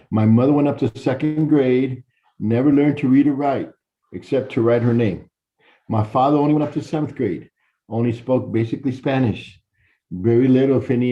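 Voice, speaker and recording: A man's voice: mid-range voice, fairly quick. A middle-aged man from Chicago, a university professor telling his life story to students in a conversational, repeating way. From Chicago, Illinois. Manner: conversational, repeats words and restarts, direct address. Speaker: university professor giving a talk. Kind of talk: personal storytelling lecture to students. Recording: dull lo-fi recording.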